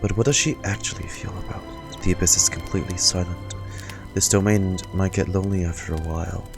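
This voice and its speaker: Low-voiced man doing game reaction commentary. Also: steady tone, brisk, vocal fry. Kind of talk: live reaction commentary, reading game text aloud. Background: game music.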